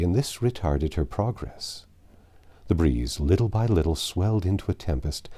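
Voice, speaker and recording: A man reading a classic adventure novel aloud as an expressive narrator. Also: sits low. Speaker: audiobook narrator.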